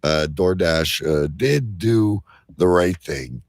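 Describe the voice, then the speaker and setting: Deep-voiced, gravelly man hosting casually. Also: expressive, takes it slowly, no echo, studio quality. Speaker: host of a live online show. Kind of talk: rambling casual live show banter.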